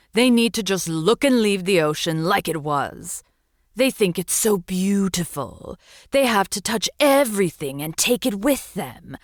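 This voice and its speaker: A woman's voice: mid-range voice, dry recording, studio quality. A woman voicing an opinionated but helpful sea witch, theatrical and emphatic, in a children's storybook reading. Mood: indignant.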